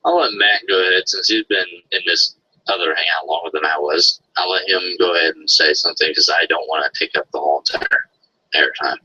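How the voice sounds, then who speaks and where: Deep preachy man, lo-fi audio. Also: bright, forceful tone, slightly rough. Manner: preachy, slow and insistent. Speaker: debater in online hangout. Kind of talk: heated online debate. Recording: dull lo-fi stream audio with faint noise.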